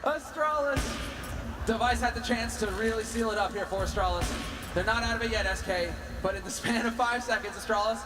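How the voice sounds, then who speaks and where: A man commentating a live esports match with animated analysis that breaks into screams at the big plays, heard on an arena broadcast. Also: very high voice, moderate pace, over loud music. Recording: arena broadcast with loud crowd and noise.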